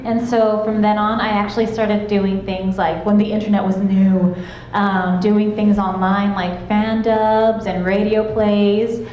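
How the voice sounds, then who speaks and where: A young woman voice actress chatting and laughing on a convention panel, with a self-described soft voice. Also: mid-range voice, flat delivery, measured pace. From Los Angeles, California. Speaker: guest voice actress. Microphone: handheld panel mic. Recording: echoey room, clear background noise.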